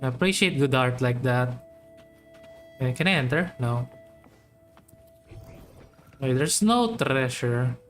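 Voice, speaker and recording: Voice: mid-range voice, lively intonation, slow pace, pauses often. A game streamer giving casual commentary in short, informal, musing remarks. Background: faint game music.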